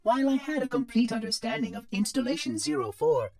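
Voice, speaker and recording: A man's voice: very high-pitched, wildly swooping pitch, a little fast, gravelly, noisy background, full character voice. A man voicing a fussy, chatty, polite robot caretaker in a video game, with prim, precise diction. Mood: prim, matter-of-fact. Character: fussy, chatty, polite floating robot monitor of an ancient installation. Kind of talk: video game cutscene voice acting.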